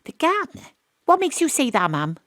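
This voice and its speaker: An actress playing a brisk, wry private investigator, narrating her case in comic noir style for an audio drama. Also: high voice, dry recording, clean studio sound, heavily acted. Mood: brisk questioning. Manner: deadpan noir-style narration, punchy questioning.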